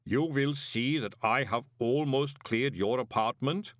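Man doing a ponderous clay golem, booming, slow, calm, dry close sound. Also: no echo, natural voice.

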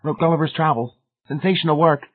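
A man voicing a gruff, suspicious, excitable blusterer with a hoarse edge in an audiobook. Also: a little high, fast, phone-quality sound, voice pushed up. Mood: shaken. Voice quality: hoarse, croaky. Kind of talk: audiobook character voice.

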